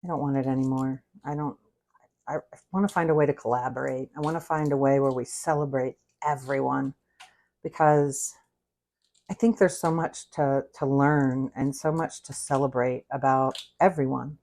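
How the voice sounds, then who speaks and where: A woman's voice: extremely low pitch, steady tone, normal speed, a little mellow. A woman in her sixties with an American accent, chatting casually in a candid, meandering way, often interrupting herself as she hosts a cooking livestream. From United States. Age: 60s. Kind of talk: casual rambling livestream chat while cooking.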